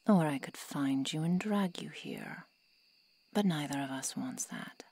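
A woman playing a protective, coolly menacing vampire seductress in a hushed, deliberate, coaxing ASMR roleplay whisper. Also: deep voice, creaky, slightly rough, exaggerated voice.

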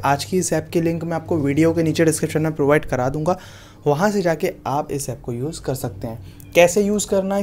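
A man's voice: a little high, a little mellow, slight background noise. A man giving an app tutorial voice-over as a YouTube host, often addressing his viewers as friends.

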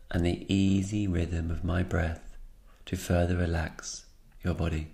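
A man with a very deep voice leads a guided meditation. His delivery is calm and soothing, staying flat and even in tone, with a gravelly creak at the edges. He leaves unhurried pauses between short phrases. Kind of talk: slow guided meditation narration.

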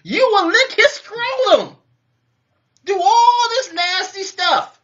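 Guy in his 30s, teasing, laughs a lot, bright voice, lo-fi webcam sound from the kitchen. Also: extremely high pitch, very animated, slow. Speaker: co-host. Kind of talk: casual live-stream chat. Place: home kitchen, indoors. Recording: muffled lo-fi webcam audio.

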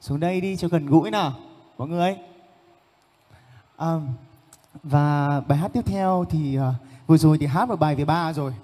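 Man, live stage banter, polite and chatty, coaxing the crowd, fairly high voice, long mall-hall reverb. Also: moderate pace, pauses often, darkish tone. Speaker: singer performing live. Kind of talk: casual stage banter with the audience. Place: large indoor shopping mall stage.

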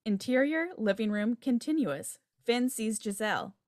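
A woman's voice: a little high, expressive, moderate pace, a little mellow, dry recording, studio quality. A woman reading stage directions as scripted narration for a table read on a video call. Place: home room on a video call.

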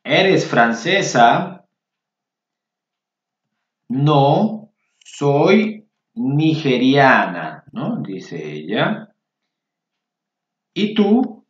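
Slow, patient male Spanish teacher. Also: mid-range voice. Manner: slow, patient, repeats phrases for learners. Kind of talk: reading workbook exercises aloud. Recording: roomy, dull lo-fi sound.

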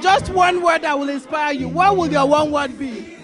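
Bubbly high-voiced Nigerian woman interviewer. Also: moderate pace. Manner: bubbly, laughing, effusive. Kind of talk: event red-carpet interview. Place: busy gym party indoors. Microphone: handheld mic. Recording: loud party music and crowd.

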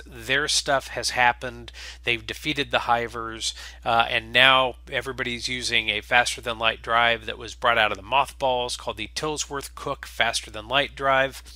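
A man gives a casual spoken introduction as host. His voice is strikingly bright and forward, and he moves along haltingly, dropping in uh after uh. The sound is dry and close, with very little room on it. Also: medium pitch, no echo. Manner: halting, with very frequent uhs. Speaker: host and game creator.

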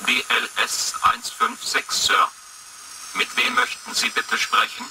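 An actor playing a pedantic, obedient station robot with stilted, formal replies through a robot filter. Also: male voice, very high voice, steady tone, takes it slowly, vocal fry, sharp tone, distant mic, heavily acted. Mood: flatly obedient. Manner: stilted, formulaic radio replies. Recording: noisy 1980s radio drama.

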